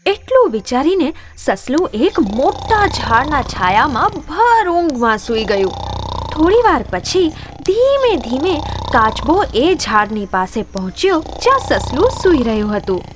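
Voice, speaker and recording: A woman narrating scripted children's moral stories in an expressive storytelling manner. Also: high-pitched, measured pace, background noise.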